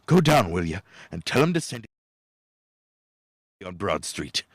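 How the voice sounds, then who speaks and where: An actor playing an excitable old miser in a radio play, theatrical and stammering. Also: male voice, low-pitched, a little slow, breathy edge, dry recording, studio quality, full character voice. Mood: giddy joy.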